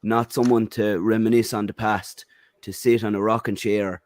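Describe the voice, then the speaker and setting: Low-voiced Irish man, blunt and opinionated. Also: little pitch movement, moderate pace, no room sound. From Ireland. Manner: opinionated, frequent "um" and "you know". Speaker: podcast panelist. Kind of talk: casual football podcast chat.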